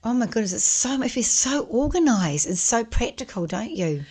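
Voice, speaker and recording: A woman hosting a podcast, hoarse after a flu, who keeps encouraging her guest. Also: a little high, very animated, moderate pace, darkish tone. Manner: encouraging, affirming interjections. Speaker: podcast host. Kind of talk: casual interview conversation.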